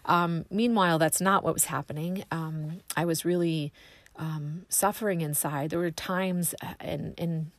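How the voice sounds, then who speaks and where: Woman, low-pitched, raspy and hoarse from a cold, soft hesitant testimony, dry close sound. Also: steady tone, unhurried, no echo. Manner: soft, hesitant, full of ums and restarts. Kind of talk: prayer followed by a personal testimony.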